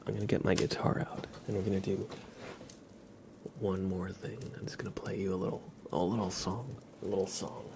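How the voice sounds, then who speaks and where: A man hosting an ASMR livestream, chatting to viewers in a hushed, gentle, often whispered manner. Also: low voice, little pitch movement, fairly quick, gravelly, background noise. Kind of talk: ASMR, soft-spoken livestream chat.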